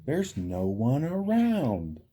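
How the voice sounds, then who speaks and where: A man's voice: medium pitch, wildly swooping pitch, slow, dark tone, slightly rough, full character voice. A man voicing an earnest, kind and protective elephant in a storybook, with emphatic, pleading delivery. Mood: curious, eager.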